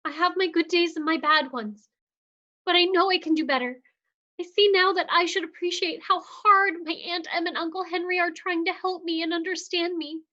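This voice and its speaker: A woman's voice: very high voice, sharp tone. A woman voicing an earnest young female patient who pleads to go home. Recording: dull lo-fi sound.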